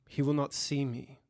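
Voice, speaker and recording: A man playing a worried, loyal older gentleman lawyer in an audio drama, earnest and formal, with a long reverberant echo. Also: mid-range voice, vocal fry. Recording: reverberant, long echoing tail.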